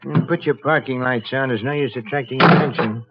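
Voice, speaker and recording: An actor playing a cocky, bullying ex-convict blackmailer in an old-time radio crime drama, domineering and needling. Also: male voice, mid-range voice, a little fast, heavy background noise, lightly acted. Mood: needling, menacing. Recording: dull vintage radio recording.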